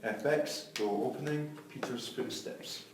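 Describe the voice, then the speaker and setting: A man's voice: medium pitch, measured pace. A man reading scene headings and sound-effect directions aloud from a script. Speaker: stage directions reader. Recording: echoey room, far from mic, faint noise.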